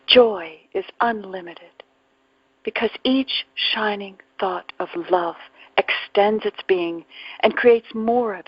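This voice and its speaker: A woman guides a spiritual meditation at a very slow pace, leaving long pauses between phrases. Her voice is breathy and soft-edged, sometimes sinking toward a whisper. The recording is dry and close, but dull and muffled. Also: mid-range voice, dry recording. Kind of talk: guided meditation, reflective spiritual talk with readings.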